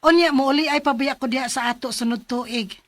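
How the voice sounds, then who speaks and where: An actress playing an earnest, plaintive young Filipina overseas worker in a radio drama. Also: female voice, high voice, brisk, no echo, lightly acted. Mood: hesitant, obliging. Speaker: radio drama lead and narrator.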